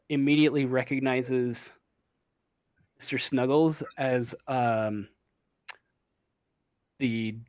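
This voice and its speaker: A man running a tabletop horror game as game master, narrating with many pauses and fillers. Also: mid-range voice, clean tone, dry recording. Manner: halting, many ums and uhs. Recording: online voice chat.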